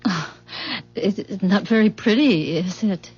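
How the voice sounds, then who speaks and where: Husky, uneasy, doubting housewife. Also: female voice, mid-range voice, slow, darkish tone, exaggerated voice. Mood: puzzled. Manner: tentative, questioning. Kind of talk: 1970s radio drama acting. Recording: muffled radio broadcast, faint music.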